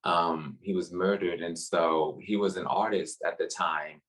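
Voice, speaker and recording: A man from New City, a guest curator talking casually on a video call, relaxed with frequent pauses. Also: sits low. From New York City. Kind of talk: casual interview conversation. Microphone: video call webcam.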